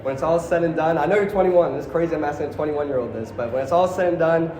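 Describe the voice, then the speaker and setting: A male journalist asks post-fight questions at a quick clip in a slightly high voice. He sounds hyped and casual, with plenty of slang. The room is echoey, with clear background noise. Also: a little mellow. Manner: hyped, casual, says bro a lot.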